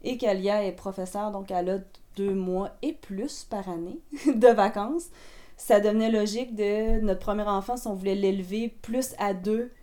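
A woman with a Québécois accent answers questions in a relaxed, halting way, often restarting her sentences. Her voice is warm and mellow. She is eight months pregnant. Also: mid-range voice. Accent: Québécois French. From Quebec. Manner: hesitant, many fillers and restarts. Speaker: interviewee. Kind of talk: casual interview chat.

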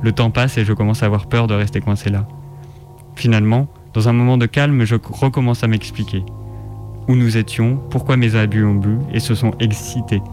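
Male narrator for radio fiction, lowish voice, dramatic first-person reading, faint music underneath. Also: fairly even intonation, moderate pace, darkish tone.

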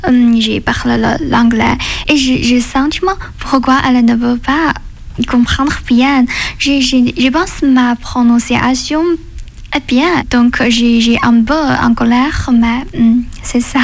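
A woman from China, a French student, speaking haltingly and repeating words. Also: on the high side, steady tone, creaky.

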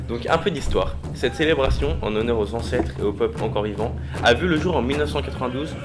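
A man's voice: mid-range voice, fast talker, over music. A teenage boy, a student presenter, reading a script with rhetorical emphasis.

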